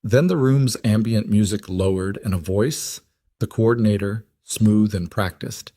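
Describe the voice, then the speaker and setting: A man with a fairly low voice narrates a dramatic story. His delivery is measured and deliberate, falling in short clipped phrases. The sound is dry, with almost no room on it. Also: warm tone, no room sound. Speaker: audiobook narrator. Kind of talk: scripted dramatic fiction narration.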